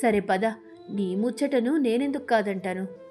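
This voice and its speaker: A woman voicing a cautious, agreeable young male parrot in a mild, obliging way for an animated storybook. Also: medium pitch, a little slow, faint music behind, natural voice.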